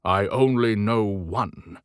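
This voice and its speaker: A man voicing an older, gruff and determined Santa Claus as a commanding action hero in an audiobook. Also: lowish voice, slow, no echo, clean studio sound. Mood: matter-of-fact.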